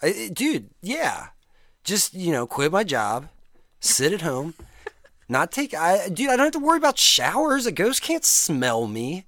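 Male podcast host, crude jokey banter, very animated pitch, dry close sound. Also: unhurried, dry recording. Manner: crude, joking, laughs a lot. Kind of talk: casual podcast chat.

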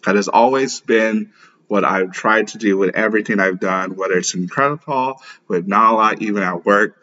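Podcast host, male, 30s, low-pitched, informal and encouraging solo talk, dull lo-fi recording. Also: moderate pace, bright, forceful tone, slight background noise. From born in Lagos, Nigeria; grew up in St. Louis, USA. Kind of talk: casual solo podcast introduction. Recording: dull, muffled lo-fi podcast audio.

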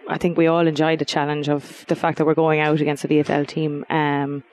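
A woman's voice: sits low, level tone, a little fast, a little mellow. A woman footballer answering interview questions hesitantly and modestly, with many restarts.

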